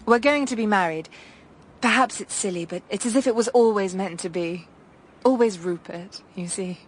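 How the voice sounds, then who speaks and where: A woman's voice: medium pitch, normal speed, slightly rough, natural voice. An actress in a radio drama playing a frank, enigmatic young upper-class woman. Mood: dreamy.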